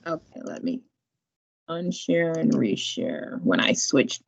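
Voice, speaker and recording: A woman from the library staff demonstrating a language database in an online tutorial, in a relaxed, chatty way. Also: deep voice, slow, gravelly. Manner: relaxed, chatty, laughs often. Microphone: video call. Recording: muffled online meeting audio.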